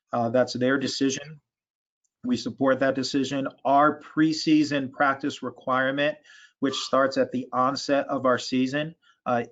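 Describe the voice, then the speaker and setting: A man answering questions as an association official in an online meeting, speaking in a halting, measured way with false starts. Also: medium pitch, fairly bright tone. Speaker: education and school sports association official. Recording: dull, lo-fi video call audio.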